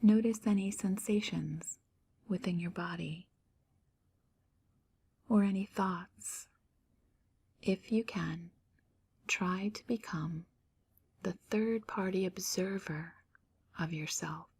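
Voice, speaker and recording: A woman leading a guided meditation, her voice soft and breathy, almost a whisper. She speaks very slowly and calmly, leaving gentle pauses between phrases, in a slightly low register. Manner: slow, calm, gentle pauses.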